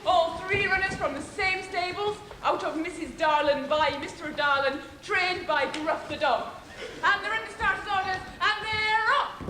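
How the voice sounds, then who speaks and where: Woman acting theatrical mother, racing-commentator delivery, extremely high pitch, echoey stage, clear noise. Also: bright, forceful tone. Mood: brisk. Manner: brisk, theatrical. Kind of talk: mock horse-race commentary in pantomime. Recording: echoey, noisy stage recording.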